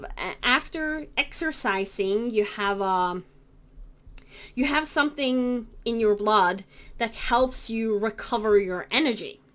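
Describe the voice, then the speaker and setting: A woman with a Norwegian accent, a native Norwegian speaker talking in English, explaining things to camera in a halting way with many fillers and self-corrections. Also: on the high side. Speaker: cross-stitch vlogger. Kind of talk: casual explanatory talk to camera.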